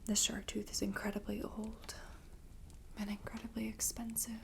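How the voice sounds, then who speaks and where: Woman acting mysterious seaside shopkeeper, young, hushed ASMR whisper, gravelly fry, even pitch, unhurried, intimate. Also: noisy background, close to an everyday voice. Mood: hushed, inviting. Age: young adult. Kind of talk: soft-spoken whispered ASMR roleplay. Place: dim candlelit tabletop set indoors.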